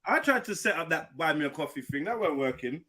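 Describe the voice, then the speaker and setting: A man's voice: on the high side, sing-song, fast, a crowd in the background. A man on a casual online panel, teasing the others in a playful way.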